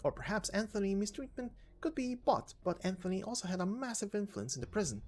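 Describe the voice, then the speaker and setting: Woman acting a teasing female explainer, glib, knowing, emphatic, lively intonation, game story, music behind. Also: mid-range voice, fast talker, lightly acted. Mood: explaining. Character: sharp, talkative, teasing woman explaining shady prison dealings. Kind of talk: voiced visual novel story reading.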